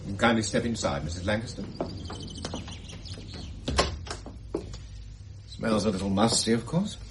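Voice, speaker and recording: An actor playing a middle-aged senior estate agent, deferential and hesitant, hedging with fillers in a radio drama. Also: male voice, on the low side, quick pace, background noise, lightly acted. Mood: nervous. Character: fussy, evasive male senior estate agent.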